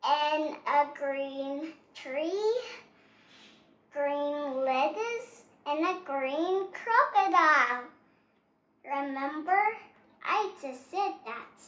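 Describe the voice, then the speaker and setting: Child storybook reader, very high voice, halting delivery, roomy echo. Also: sharp tone. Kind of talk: read-aloud of a picture storybook. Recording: roomy, echoing sound.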